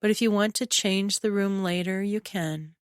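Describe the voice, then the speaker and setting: A woman narrating a scripted guided relaxation in a soothing, measured way, with short phrases and long pauses. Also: mid-range voice, clear, resonant tone, dry recording, studio quality. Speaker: guided imagery meditation narrator.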